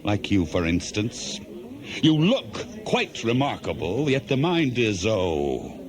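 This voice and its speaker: A man's voice: on the low side, wildly swooping pitch, takes it slowly, heavily acted. Proud weary seafarer king, swooping delivery. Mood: cutting, measured. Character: proud, weary seafaring king, eloquent, quick to take offence. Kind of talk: 1970s radio play acting. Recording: crowd behind, dated cassette radio drama.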